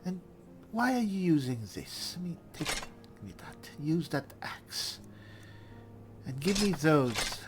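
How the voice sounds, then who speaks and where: A man's voice: on the high side, animated, takes it slowly, music in the background. A man roleplaying through a fantasy game in casual commentary, with dry, wry, offhand replies. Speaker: gamer roleplaying as an elf spellsword.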